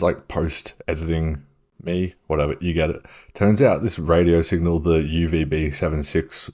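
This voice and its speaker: A man's voice: very deep voice, a little mellow. A man narrating an explainer in a casual, informal and offhand way. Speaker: video host and narrator. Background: music.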